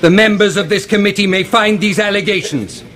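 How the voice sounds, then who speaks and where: A man's voice: sits high, a little fast, a bit bright. A man playing a stern Crown Prosecutor, delivering a formal, declamatory address to a tribunal in a radio drama. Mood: stern, accusing.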